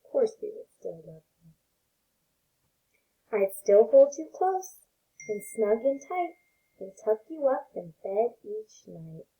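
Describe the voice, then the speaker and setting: Woman as a mellow, soothing big storybook parent. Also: fairly high pitch, big pitch swings, slow, pauses often, exaggerated voice, low-quality recording. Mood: tender, reassuring. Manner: soothing, reassuring, tender. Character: large, patient, tenderly loving parent creature.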